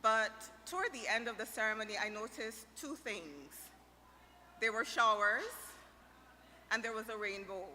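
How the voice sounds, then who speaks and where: Earnest Barbadian woman, bright voice. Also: fairly high pitch, moderate pace, faint music behind. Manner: earnest, emotional, warm-spoken. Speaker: wedding guest giving a greeting. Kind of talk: heartfelt toast. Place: large reception hall. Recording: reverberant hall with faint ambience.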